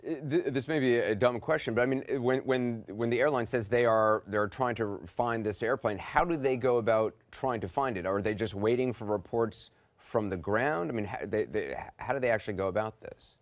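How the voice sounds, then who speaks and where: A man's voice: medium pitch, normal speed, hardly any pauses, dry recording. A man with a mid-range voice puts questions to a guest in a news interview. His phrasing is conversational and probing, with a few restarts. The sound is dry and close. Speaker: news anchor.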